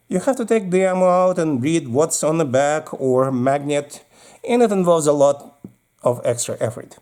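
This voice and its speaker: A man's voice: fairly high pitch, a little mellow. A man with a Russian accent giving practical, casual instructions as a video host, explaining while handling his gear.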